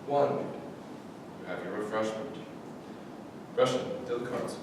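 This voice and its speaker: In a booming, noisy hall, far from the mic, a man plays a German wartime camp officer. He speaks in curt, imperious orders with cool menace, as in a stage play. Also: a little slow, close to an everyday voice. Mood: commanding. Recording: very echoey hall, distant mic, noisy rumble.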